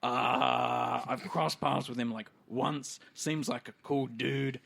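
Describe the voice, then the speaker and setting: A man's voice: medium pitch, slow, vocal fry, heavily acted. A man playing an eager, rambling, drugged-up punk radio host who swears and repeats himself in an improvised tabletop game. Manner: excitable, profane, stammering repetitions. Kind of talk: improvised in-character tabletop roleplay.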